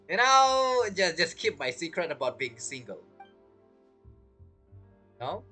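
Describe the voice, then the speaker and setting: A man's voice: on the high side, very animated, slow pace, sharp tone, faint music behind. A man giving casual, rambling gameplay commentary as a streamer, reacting jokily. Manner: joking, rambling reactions to the game. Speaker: gaming streamer.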